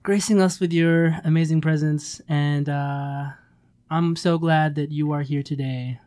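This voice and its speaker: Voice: medium pitch, fairly even intonation, a little slow, a little mellow. An effusive, encouraging radio host speaking casually in an interview, praising and thanking the guest.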